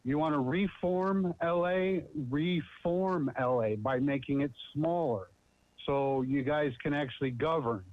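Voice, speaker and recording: A man's voice: a little high, a little slow. Blunt man repeating a slogan by phone. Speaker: public commenter calling in. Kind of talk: public comment speech. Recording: muffled phone line.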